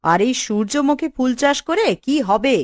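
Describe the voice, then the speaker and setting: A woman's voice: high voice, animated, a little slow, no room sound, studio quality. An actress playing a bold, bossy village woman in a cartoon, assertive and scolding. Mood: scheming, stern. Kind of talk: Bengali cartoon dialogue.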